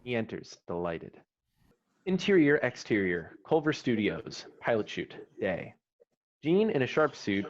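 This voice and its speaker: A man's voice: fairly low pitch, darkish tone. A man reading scripted stage directions as narrator for an online screenplay table read. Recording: dull lo-fi online call audio.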